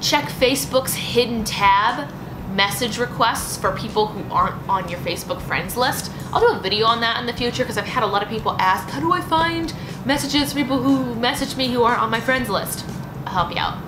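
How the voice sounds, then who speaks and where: A young woman in her twenties from the United States giving casual, lively advice to camera in a small indoor room, with punchy little asides. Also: fairly high pitch, fairly quick, noisy background. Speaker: lifestyle vlogger. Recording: roomy with background music.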